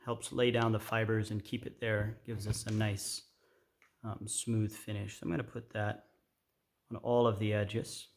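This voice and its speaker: A middle-aged man giving a casual, unhurried hands-on tutorial in an informal, explanatory way. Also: fairly low pitch, little pitch movement. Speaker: craft tutorial host. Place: indoor workshop at a workbench. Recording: clear background noise with clicks.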